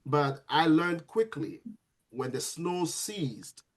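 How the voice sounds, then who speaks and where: A man, a nurse guesting on a podcast, telling his story in a lively way with frequent restarts. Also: a little high, takes it slowly. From Sierra Leone. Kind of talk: casual storytelling interview.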